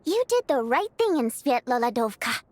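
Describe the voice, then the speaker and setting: An actress playing an excitable, outspoken young woman with a dramatic, chatty delivery in a video game. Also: high voice, normal speed, no echo. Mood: sincere. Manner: expressive, exclamatory, chatty.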